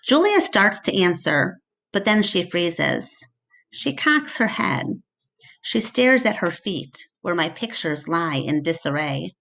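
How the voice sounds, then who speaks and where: Woman teacher reading a kids book out loud, slowish, lowish voice, dramatic and deliberate. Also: big pitch swings, gravelly, poor audio. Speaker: teacher reading aloud to a class. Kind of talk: expressive storybook narration.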